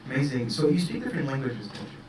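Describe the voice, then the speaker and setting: Guy hosting an interview, all excited, trips over his questions, mid pitch, sounds far away in a roomy space. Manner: enthusiastic, halting questions. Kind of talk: festival interview. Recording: roomy, distant mic with noise.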